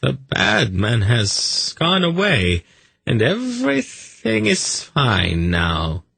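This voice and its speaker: A man's voice: fairly low pitch, fairly even intonation, very slow, no room sound, voice pushed up. A man voicing a nervous, fawning snake keeper in an audiobook, pleading, apologetic and coaxing in manner. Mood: soothing, gently scolding. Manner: wheedling, apologetic, deferential.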